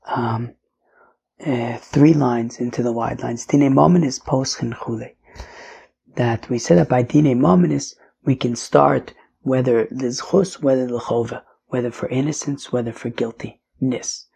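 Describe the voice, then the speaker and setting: Hoarse man teaching Talmud casually. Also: mid-range voice, measured pace, dark tone. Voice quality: raspy, drops to whispering. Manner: casual, with fillers and mixes in Hebrew and Aramaic terms. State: losing his voice, hoarse. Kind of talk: daily Talmud lesson.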